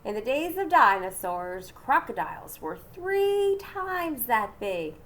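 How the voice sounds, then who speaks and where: Woman as a know-it-all big brother, unhurried. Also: a little high, big pitch swings, a bit bright, voice pushed up, exaggerated voice. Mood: knowing, assured. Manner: matter-of-fact explaining. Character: know-it-all older brother, calm and showing off.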